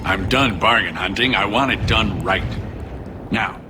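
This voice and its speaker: An actor playing a hard-bargaining dwarf merchant, eager and scheming, in a video game. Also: male voice, lowish voice, unhurried, heavy background noise, close to an everyday voice. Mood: businesslike, eager. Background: loud game music.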